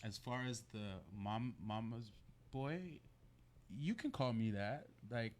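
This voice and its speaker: A man's voice: medium pitch, takes it slowly. A man talking as a guest on a casual talk show, informal and slangy, often checking in with a "right?". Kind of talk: casual conversational interview answers.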